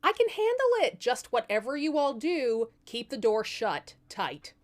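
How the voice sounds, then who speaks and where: A woman puts on a brash, fearless princess girl with a high, swooping voice. She is cocky and bossy, talking in casual slang in a comic storybook tale. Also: very animated, measured pace. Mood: confident.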